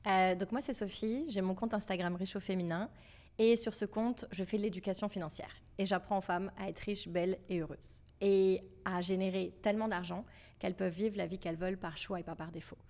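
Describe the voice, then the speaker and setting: A young woman from France with a French accent, talking as a podcast guest in a lively, emphatic, convinced way about money. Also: mid-range voice, fairly quick. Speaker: podcast guest, financial educator. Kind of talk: casual podcast conversation.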